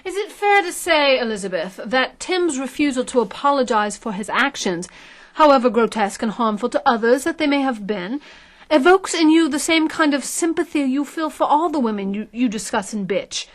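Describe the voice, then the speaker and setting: Female media professional, polished presenter delivery, slightly high voice, audio satire. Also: animated, moderate pace. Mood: formal, probing. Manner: articulate, pitching. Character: polished, promotional female media consultant and interviewer. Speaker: actor. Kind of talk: satirical audio drama acting.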